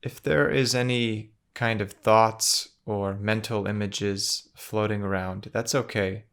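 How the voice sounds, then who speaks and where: Slow, low-voiced man guiding meditation. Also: steady tone. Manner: gentle, measured, with long pauses between phrases. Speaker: meditation guide. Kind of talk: guided meditation.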